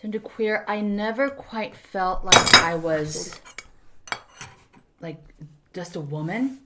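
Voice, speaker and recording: A woman's voice: mid-range voice, slow, very noisy. A woman in her thirties from South Korea, a native Korean speaker, chatting reflectively as a podcast guest. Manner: reflective, animated, sprinkles in Korean words. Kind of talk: casual podcast chat.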